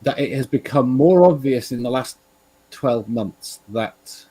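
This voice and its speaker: Husky, halting Englishman. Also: medium pitch, lively intonation, dark tone, no room sound, rough recording. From England. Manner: halting, repetitive, sweary. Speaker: talk show participant. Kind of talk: casual, rambling discussion.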